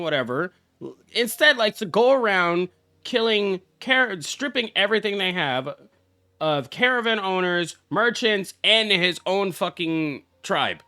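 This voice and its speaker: A man's voice: high-pitched, animated, unhurried, sharp tone, dry recording. A young man, a game streamer, giving casual live reaction commentary in a brash, exclamatory, heavily profane way. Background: game music.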